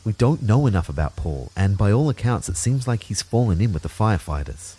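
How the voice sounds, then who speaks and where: A man's voice: fairly low pitch, big pitch swings, a little fast, mellow, husky, poor audio. A man playing an anxious, introspective man who narrates his own story in a reflective, wry way for an audio drama. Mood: worried. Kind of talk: first-person scripted audio drama narration.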